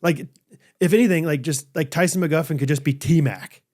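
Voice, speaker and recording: Joking man, slightly high. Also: normal speed. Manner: joking, animated, laughs often. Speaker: podcast co-host. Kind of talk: casual chat with ad reads.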